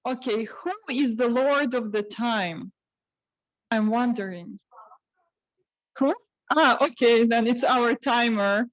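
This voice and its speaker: A woman hosting an impromptu speaking session, haltingly putting repeated questions to the audience. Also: on the high side, no echo. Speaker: table topics host at a speaking club. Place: club meeting with online participants. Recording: dull, muffled lo-fi sound.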